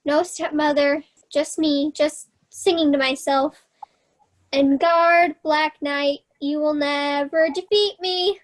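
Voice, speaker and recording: A woman's voice: high-pitched, fairly even intonation, slow pace. A woman playing a playful, plucky young Cinderella in a children's theatre staged reading, with a theatrical manner. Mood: sheepish.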